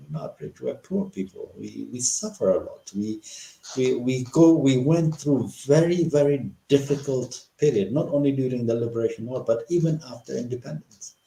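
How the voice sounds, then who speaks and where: A man's voice: mid-range voice, creaky, warm tone. A middle-aged man from Ethiopia, an interview guest giving impassioned political commentary, asking rhetorical questions and restarting his sentences often. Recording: roomy echo.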